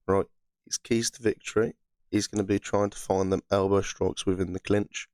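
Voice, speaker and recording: Hoarse, croaky low-voiced man. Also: a little mellow, dry recording. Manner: chatty, frequent "right" fillers. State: hoarse, under the weather. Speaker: MMA channel host. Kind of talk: casual fight predictions monologue.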